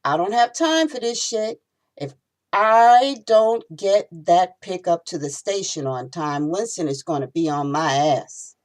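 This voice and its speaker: A woman's voice: lowish voice, expressive, unhurried, pitched above the performer's own voice. A woman voicing an exasperated, frightened, streetwise male cab driver in an audiobook story, indignant and pleading.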